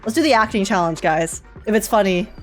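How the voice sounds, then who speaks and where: A woman's voice: medium pitch, fairly quick. A woman live-streaming a game with casual, playful, slangy reactions to what happens. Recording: faint game music behind.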